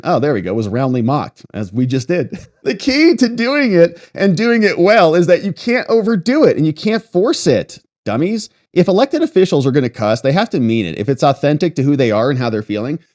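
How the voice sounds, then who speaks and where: A man's voice: medium pitch, a little mellow. An American man hosting political commentary, reading articles aloud and breaking in with sarcastic, mocking asides.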